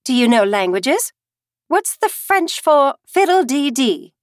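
A woman's voice: high-pitched, very animated, slow, no echo, clean studio sound, pitched above the performer's own voice, heavily acted. A woman voicing a stern, haughty and stiff queen in a storybook reading. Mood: haughty, challenging.

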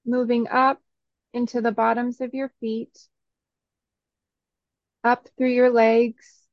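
Lady doing a guided meditation, really slow n soothing, lots of pauses, dry kinda dull recording. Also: fairly high pitch, little pitch movement, dry recording. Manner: soothing, instructional, short phrases with long pauses.

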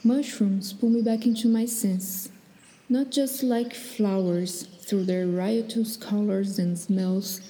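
A woman reading a book passage aloud in a calm, unhurried way. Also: medium pitch, big-room sound, slight background noise. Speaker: reader of book excerpt.

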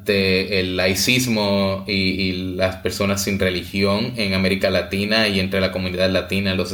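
A man co-hosting a podcast, talking casually with many pauses, fillers and restarts. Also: sits low, fairly bright tone, roomy sound. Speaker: podcast co-host.

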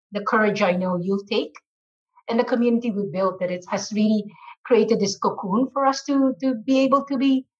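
A woman's voice: mid-range voice, lo-fi sound. A middle-aged woman from the Philippines, a marketing executive answering interview questions earnestly with many fillers.

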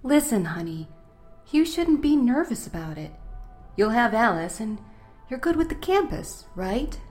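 A woman's voice: a little high, big pitch swings, measured pace, darkish tone, faint music behind. A woman acting in an audio drama as a protective, teasing mother who fusses affectionately and speaks bluntly. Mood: fond, concerned. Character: protective, teasing mother of a blind college student.

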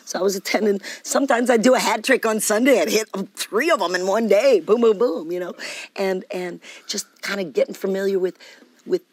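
A woman musician, the guest, telling stories in a casual interview, animated and laughing, often saying "man". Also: medium pitch, a little fast, no room sound.

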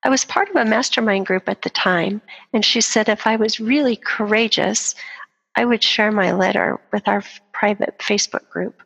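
A woman's voice: medium pitch, moderate pace, gravelly, fairly bright tone, big-room sound, low-quality recording. A middle-aged woman with a mother's voice giving an earnest inspirational talk, speaking in firm, repeated refrains.

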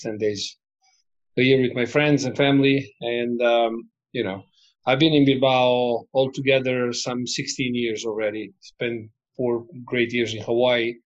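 A man's voice: medium pitch, lo-fi sound. A man in his forties from Montenegro, an English speaker, talking in a remote interview with many fillers and restarts. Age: 40s. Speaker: basketball club figure, former player.

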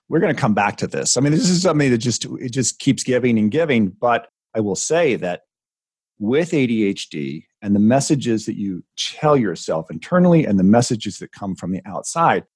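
A man's voice: mid-range voice, darkish tone, breathy edge, no echo, clean studio sound. A man co-hosting a podcast in casual chat, reflective and thoughtful, often repeating words as he thinks. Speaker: podcast co-host, coach.

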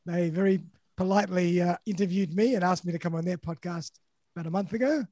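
A man's voice: high voice, quick pace, dark tone, no room sound. A man hosting a podcast interview, upbeat and full of praise for his guests. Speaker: podcast host.

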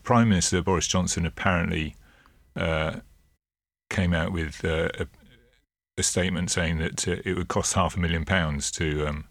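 A man co-hosting a political podcast, explaining in a measured, hesitant way with many fillers and sounding a little under the weather. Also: low voice, fairly even intonation, gravelly, no room sound. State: a bit ill. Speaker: co-host and political editor. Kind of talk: casual political podcast chat.

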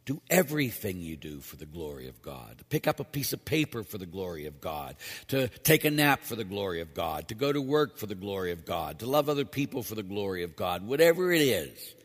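A priest preaching in a church, a man with a lowish, husky and slightly hoarse voice. He talks to the congregation in a conversational way and drives his points home with repetition. The sound carries a long reverb from the church hall. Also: very animated, fairly quick, few pauses. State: losing his voice. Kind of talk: homily.

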